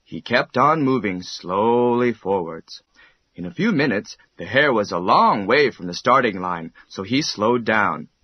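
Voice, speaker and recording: A man narrating a children's bedtime story in an expressive, measured storytelling manner. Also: lowish voice, slightly rough, dry recording, highs cut off.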